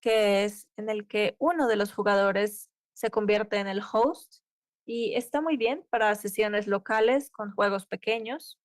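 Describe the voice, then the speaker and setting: A woman's voice: mid-range voice, fairly even intonation, measured pace, no room sound, studio quality. A woman interpreting a conference tech talk live, keeping pace with occasional cut-off restarts. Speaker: simultaneous interpreter.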